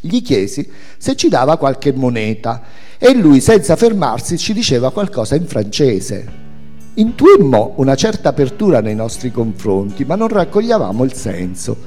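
A man's voice: mid-range voice, big pitch swings, moderate pace, warm tone. A man reading a memoir aloud as a literary piece, with dramatic storytelling phrasing. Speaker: reader of a memoir. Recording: reverberant hall, faint music behind. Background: faint music.

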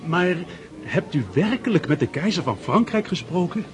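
A man acting in a radio drama as an eager, inquisitive high-society party guest. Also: lively intonation, moderate pace, music in the background. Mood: curious, eager. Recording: busy party crowd behind.

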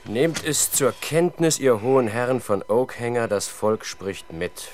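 An actor playing a dry, measured male police officer in a 1970s German radio crime drama. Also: mid-range voice, lively intonation, close to an everyday voice. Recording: faint background noise, vintage radio production.

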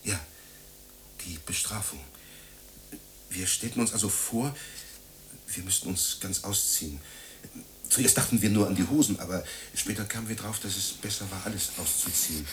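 An actor in a German radio drama playing a needy, self-pitying man who rambles haltingly and repeats himself. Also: low-pitched, slight background noise, close to an everyday voice. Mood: confiding, excited.

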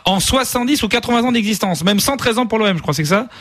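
Fast, higher-pitched ironic French radio man. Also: expressive, fairly bright tone. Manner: ironic, mock-serious, rapid banter. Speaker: radio sports comedian. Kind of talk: comic radio chronicle. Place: radio studio.

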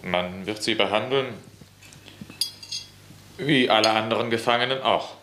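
A man's voice: lowish voice, measured pace, background noise, close to an everyday voice. A young man playing a pale, self-concerned officer son who fusses over the family name. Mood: curt. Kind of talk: radio play acting. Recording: echoey studio room.

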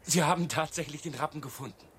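An actor playing a young novice monk in a radio play, a curious and eager pupil. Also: male voice, a little high, quick pace, a bit bright, a little hiss or hum, close to an everyday voice. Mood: curious, impatient.

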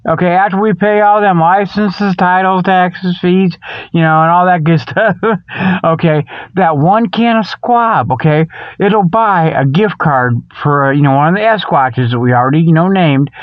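High voiced guy doing a rambly jokey radio show, folksy talk, kinda muffled dry sound. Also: no echo. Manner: folksy, colloquial phrasing with frequent "okay" and "you know". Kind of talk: rambling comic radio monologue.

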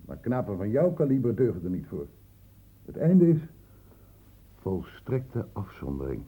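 An actor playing a shrewd, paternal doctor in a radio play, speaking in a measured, patronising, ironic way. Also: male voice, lowish voice, wildly swooping pitch, dark tone, slightly rough, full character voice. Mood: grave lecturing. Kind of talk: radio play acting in Dutch. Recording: muffled, phone-like old radio recording.

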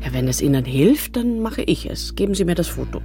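A woman's voice: lowish voice, big pitch swings, a little fast, music in the background. An actress playing a blunt, scornful woman in a German radio drama, dismissive and insistent. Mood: scornful, brisk. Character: blunt, scornful woman who dismisses illusions and others' troubles.

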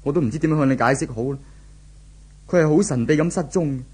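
Husky, anguished young Hong Kong man. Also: on the high side, quick pace, warm tone. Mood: despondent. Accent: Hong Kong Cantonese. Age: young adult. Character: anguished, brooding young office worker, distraught boyfriend. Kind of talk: 1970s radio drama acting.

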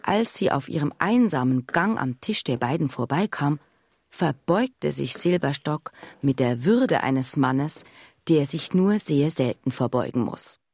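Female storyteller, radio drama, husky, wry, observant, expressive intonation, close dry sound. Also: sits low, unhurried, dry recording, exaggerated voice. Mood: measured, observant. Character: observant, wry woman recounting her childhood memories. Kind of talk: scripted first-person radio drama narration.

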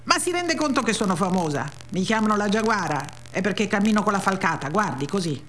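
Woman playing a brash flirtatious starlet, raised pitch. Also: sing-song, a little fast, a little hiss or hum, natural voice. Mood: brazen, flirtatious. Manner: provocative, bossy, dismissive. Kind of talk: radio dialogue reading.

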